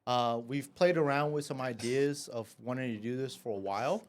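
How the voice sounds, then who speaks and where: A man's voice: medium pitch, moderate pace. A man from Vietnam, a café owner, telling his life story as a podcast guest in a casual, filler-heavy way, often ending with "right?". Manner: chatty, many fillers and "right?".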